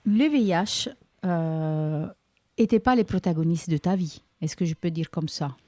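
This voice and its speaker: A woman's voice: on the low side, measured pace, dark tone, no room sound, studio quality. A woman interviewer asking a tentative question.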